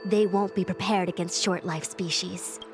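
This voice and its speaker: Game diviner-strategist female actor: young, composed, commanding, formal measured delivery, loud music bed. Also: on the low side, fairly even intonation, natural voice. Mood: grave, expository. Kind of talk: scripted video game voice acting.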